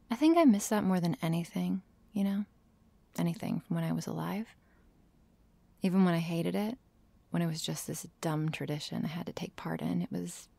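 Woman acting a creaky, mellow tsundere vampire girl. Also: on the low side, no room sound, heavily acted. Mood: wistful, reluctant. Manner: sardonic, hesitant, feigning indifference. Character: tsundere vampire girl, prickly, teasing, secretly lonely. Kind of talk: intimate ASMR roleplay.

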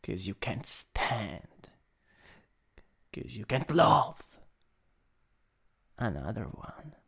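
A man's voice: on the low side, very animated, extremely slow, creaky, husky, full character voice. A man with an Italian accent playing a possessive, teasing, dominant older stepbrother in an improvised ASMR roleplay. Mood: smug, delighted. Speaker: roleplay audio performer.

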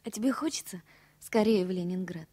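An actress playing a tender, infatuated woman who gently probes her new lover, in an intimate radio play. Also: on the low side, brisk, slightly rough. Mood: probing, uneasy.

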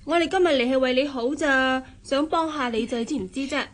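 Actress as high-voiced, fussy, cynical wife. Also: female voice, brisk, natural voice. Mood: big-sisterly. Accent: Hong Kong Cantonese. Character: big-sisterly, cynical, fussy married woman. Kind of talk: Cantonese radio drama acting.

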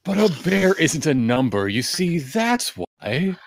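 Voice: mid-range voice, big pitch swings, slow, no echo, clean studio sound. A podcast panelist giving a casual, theatrical comedic reading.

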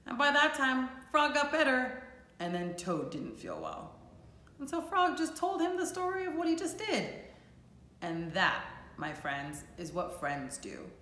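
Slow, animated woman reading to children. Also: sits high. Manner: expressive, addressing young children. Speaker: teacher reading a storybook aloud. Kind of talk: animated children's storybook read-aloud. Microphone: camera or phone a few feet away. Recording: echoey room.

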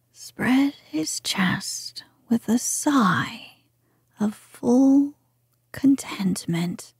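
A woman reads a classic storybook in a hushed, very breathy whisper. Her pace is very slow and measured, and her tone is dark and mellow. The sound is close and dry. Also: on the high side, no room sound. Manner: soft whisper, gentle and measured.

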